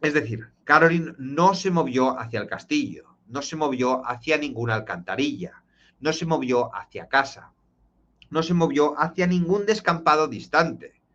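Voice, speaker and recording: A man, streaming live and recounting a true crime case in an emphatic, dramatic way, slowing down for key points. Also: a little high, lively intonation, a bit bright, dull audio.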